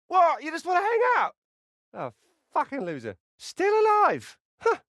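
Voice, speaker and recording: A man voicing a rude, sarcastic, foul-mouthed squirrel spirit in a video game, swinging between muttered asides and brash yells. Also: very high-pitched, huge pitch swings, unhurried, no room sound, studio quality, exaggerated voice. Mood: sarcastic, dismissive. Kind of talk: video game character acting.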